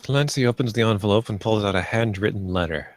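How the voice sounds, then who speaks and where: A man with a lowish voice narrates the stage directions of a screenplay. His delivery is plain and measured, and the sound is dry and close. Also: no room sound. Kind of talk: scripted narration at a screenplay table read.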